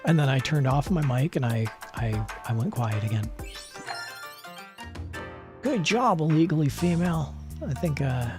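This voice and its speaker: A man streaming a card game, chatting casually in a soft, rambling, self-deprecating way with asides to the game. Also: on the high side, expressive, unhurried, pauses often, music in the background. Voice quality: soft, not gravelly at the moment. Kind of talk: casual live-stream chat while playing.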